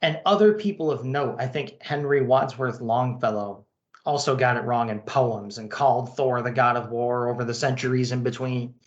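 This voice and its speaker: A man's voice: mid-range voice, moderate pace, roomy sound, highs cut off. A man co-hosting a comic-book podcast, narrating and commenting. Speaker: podcast co-host.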